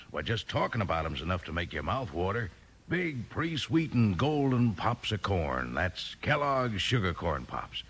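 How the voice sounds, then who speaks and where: A man announces a vintage radio advertisement in a folksy, hearty sales style. His voice is fairly deep and clearly husky. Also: fairly bright tone. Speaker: commercial announcer.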